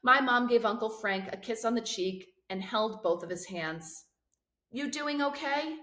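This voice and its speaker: A woman reading a children's novel aloud in expressive storybook narration. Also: medium pitch, normal speed. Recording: roomy, echoing sound.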